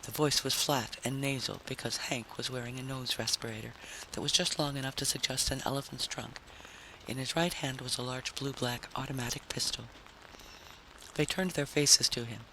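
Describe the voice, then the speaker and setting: A man's voice: medium pitch, fairly even intonation, moderate pace, bright, forceful tone. A man narrating a story in a dramatic, scripted vintage radio style. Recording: noisy vintage radio recording.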